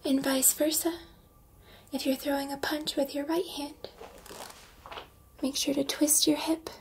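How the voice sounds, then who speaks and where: A woman playing a calm, exacting combat robot trainer in soft, often whispered ASMR roleplay, with formal, precise instructions. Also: high voice, a little slow, slight background noise. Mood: calm, instructive.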